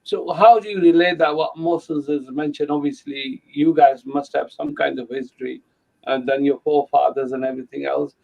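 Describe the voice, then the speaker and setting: Man, gravelly voice, hesitant questions, distant muffled mic. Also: on the high side, fairly even intonation, normal speed, a little mellow.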